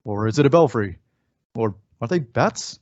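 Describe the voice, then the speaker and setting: A man's voice: mid-range voice, lively intonation, moderate pace, a little mellow, no echo, close to an everyday voice. A man playing a theatrical, wry old-time radio announcer and narrator. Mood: wry, dramatic. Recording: dull lo-fi recording.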